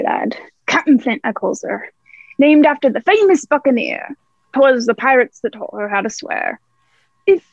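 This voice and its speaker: Sly pirate sea cook, played by a woman: high, swooping, salty dialect, muffled dry radio-play recording. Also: no echo. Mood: gruff, teasing. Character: sly, hearty peg-legged pirate ship's cook. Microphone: own device, remote recording.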